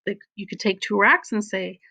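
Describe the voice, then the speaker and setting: A woman schoolteacher talking casually and instructively to children, expressive and encouraging them to use their imagination. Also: medium pitch, normal speed, gravelly. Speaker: elementary school teacher.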